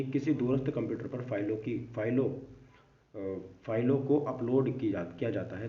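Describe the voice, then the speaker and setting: A man teaching an informal exam-prep lesson, reading out quiz questions and answers and mixing in English terms. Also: mid-range voice. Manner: repeats catchphrases, mixes English terms into explanations. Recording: dull, distant, echoey room with faint noise.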